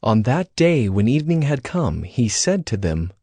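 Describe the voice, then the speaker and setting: A man narrating a dramatized scripture story in a measured storytelling manner. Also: mid-range voice, sing-song, a little mellow, no room sound. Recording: prominent music behind the voice.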